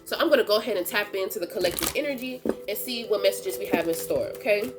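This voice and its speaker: A woman's voice: medium pitch, quick pace, over music. A woman with a stuffy nose from a cold, chatting casually in as she gives a tarot reading, slangy and laughing often. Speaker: tarot reader and channel host.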